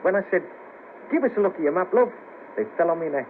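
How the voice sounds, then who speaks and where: A man's voice: high voice, lively intonation, fast talker, narrow, tinny audio. An actor playing a world-weary, scheming ex band manager, down on his luck, in a colloquial 1970s radio drama. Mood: weary, reminiscing. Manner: wry, persuasive, colloquial. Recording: patchy noisy cassette of 1970s radio broadcast.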